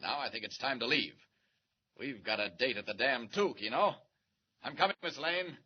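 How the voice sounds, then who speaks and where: An actor playing a calm, wry male reporter who is secretly a superhero, in a 1940s radio serial. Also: mid-range voice, moderate pace, lightly acted. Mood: determined. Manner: calm, wry and self-assured. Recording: muffled old radio recording with hiss.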